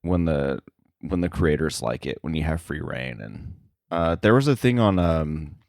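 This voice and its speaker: A man speaking as an interview guest in casual chat, halting and informal, thinking his way through each phrase. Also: a bass voice, expressive, vocal fry, darkish tone, no echo, studio quality. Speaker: interview guest, sound editor.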